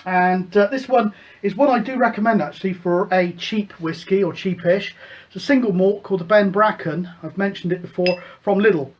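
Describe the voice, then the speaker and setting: A man's voice: high-pitched, moderate pace, poor audio. A middle-aged man hosting his own radio hobby show, chatting casually and rambling with plenty of fillers. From United Kingdom. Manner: relaxed, rambling, many fillers. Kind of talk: casual show-and-tell chat.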